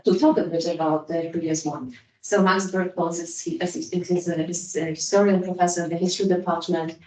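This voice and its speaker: A woman hosting an academic lecture, giving an informal introduction in a halting way and often repeating words. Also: on the low side, little pitch movement, dark tone. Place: room at a hybrid academic event. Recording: echoey room, distant microphone.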